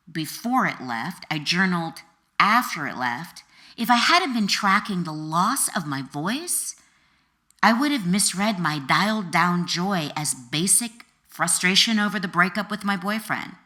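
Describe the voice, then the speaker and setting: A woman author reading her own memoir as an expressive audiobook narrator, with a dramatic, deliberate delivery and emphatic pauses. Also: on the low side.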